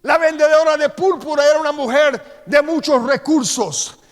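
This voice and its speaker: A middle-aged man with a Costa Rican accent preaching a sermon as pastor. He reads Scripture slowly and with emphasis, then turns quieter as he tells personal stories. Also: extremely high pitch, steady tone. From Costa Rica. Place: church sanctuary. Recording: long church-hall reverb.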